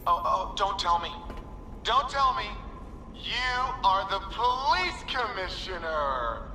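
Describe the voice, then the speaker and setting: A manic, mocking game villain, unstable and full of himself. His voice is very high and sharp, swinging theatrically in an echoey space. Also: big pitch swings, slow pace, slight background noise, heavily acted. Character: manic male egotist turning Joker-like, theatrical and unstable. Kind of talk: video game cutscene acting.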